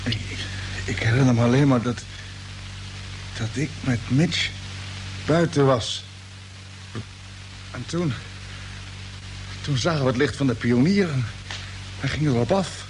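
An actor playing a drowsy, bewildered space expedition commander in a radio play, speaking haltingly and repeating words. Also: male voice, mid-range voice, natural voice. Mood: groggy, confused. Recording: noisy, humming old radio drama recording.

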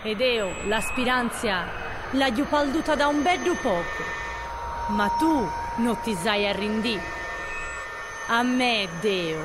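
Slow, grieving Sardinian mother. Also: on the high side, very noisy. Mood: sorrowful. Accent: Gallurese, northern Sardinia. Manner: lamenting, drawn-out, emotive. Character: grieving mother and widow, bitter, bereft of husband and son. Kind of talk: slow dramatic lament in an audio drama.

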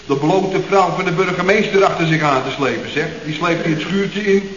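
A man's voice: high voice, moderate pace, fairly bright tone. An actor playing a cheeky, garrulous young man in a radio comedy, rambling and muddling his words. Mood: gleeful telling. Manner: rambling, mangles words and corrects himself. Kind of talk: 1970s radio comedy acting. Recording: echoey, dull old radio recording, faint noise.

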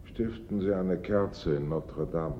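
An actor playing a dry, laconic, sardonic Paris police inspector in a German radio play. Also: male voice, low-pitched, takes it slowly. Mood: probing, dry. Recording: dull, lo-fi old radio recording.

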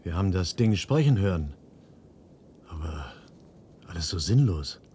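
A man's voice: low-pitched, very animated, a little slow, warm tone, slight background noise. An actor playing a skeptical, blunt, questioning man in a science-fiction radio drama. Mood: baffled.

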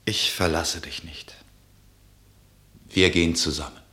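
A man's voice: low voice, fairly even intonation, unhurried, heavy background noise. An actor playing a devoted, protective man in a radio play, reassuring with earnest urgency. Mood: urgent. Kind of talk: German radio play acting.

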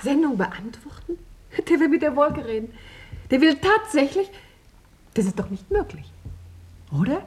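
A woman's voice: high-pitched, sing-song, normal speed, long pauses. An actress playing an astonished, incredulous woman in a 1950s German radio drama.

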